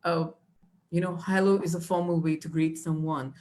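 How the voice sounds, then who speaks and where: A woman's voice: on the low side, little pitch movement, normal speed, darkish tone. A young woman, teaching exam tips patiently with many fillers and restarts. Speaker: IELTS trainer. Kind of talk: live online teaching.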